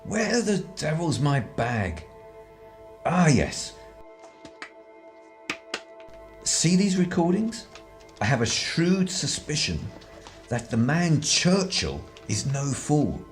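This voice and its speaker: A man's voice: fairly high pitch, sing-song, slow pace, faint music behind. Man acting a swooping, scheming spy. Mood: smug, tipsy bluster. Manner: arch, wordy, old-fashioned banter. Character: cocky, scheming young-at-heart spy, calculating and theatrical. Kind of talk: radio drama acting.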